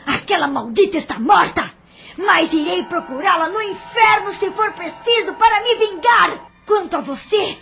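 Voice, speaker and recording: A woman's voice: very high-pitched, animated, normal speed, creaky, a bit bright, slight background noise, exaggerated voice. A woman playing a vengeful, scornful woman. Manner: threatening, spiteful, scornful. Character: bitter vengeful woman, brothel owner. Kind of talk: audio drama acting.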